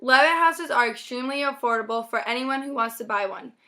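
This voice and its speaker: A young woman presents a scripted, 1950s-style student newscast. Her voice is on the high side with a bright, forward edge. The delivery is rehearsed and presentational. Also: a little fast. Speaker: news anchor.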